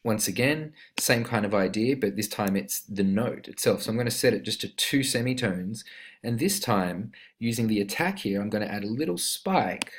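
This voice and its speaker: A man giving an informal, step-by-step screen-recorded tutorial on music production software, talking as an instructor. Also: lowish voice. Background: synth instrument notes played during the demonstration.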